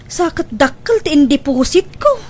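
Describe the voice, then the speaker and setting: A pushy village woman, played by an actress with a high voice. She is coaxing and insistent, fretful about money, in a comic audio drama. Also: very animated, normal speed, slight background noise. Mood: worried, pleading. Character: pushy, money-anxious village woman recruiting relatives into a scheme. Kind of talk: scripted audio comedy drama dialogue.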